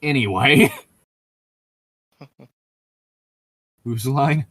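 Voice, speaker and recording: A man with an average-pitched voice chats casually as a podcast co-host. He rambles and digresses, tossing in profanity as he comments on what he reads. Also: drawn-out words, a bit bright, breathy edge, no room sound, studio quality. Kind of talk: casual chat and commentary while reading a novel aloud.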